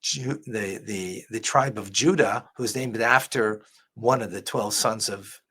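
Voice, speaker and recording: A man's voice: fairly low pitch, a little slow, pushed and bright, breathy edge. A man, a rabbi, giving earnest religious commentary as he reacts to a clip. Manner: earnest, preacher-like teaching.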